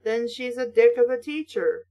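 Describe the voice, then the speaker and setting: High voiced woman doing snarky book commentary, exasperated, swears casually, blunt. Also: normal speed, warm tone, lo-fi sound. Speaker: host of a book-mocking reading show. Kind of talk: reading aloud with sarcastic commentary.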